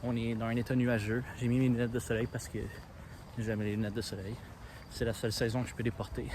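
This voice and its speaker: A young man with a Quebec French accent, thinking aloud in a relaxed, plain way as he walks city streets, recording himself on his phone. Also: medium pitch, fast talker, background noise. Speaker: seeker in hide-and-seek vlog. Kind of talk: casual self-filmed vlog narration.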